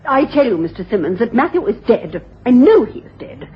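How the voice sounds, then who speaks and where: Blunt, disdainful well-bred widow, expressive, dull hissy 1980s radio drama. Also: female voice, fairly high pitch, a little fast. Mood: adamant. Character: adamant, scornful sister, a well-bred widow. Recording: dull, noisy old radio broadcast.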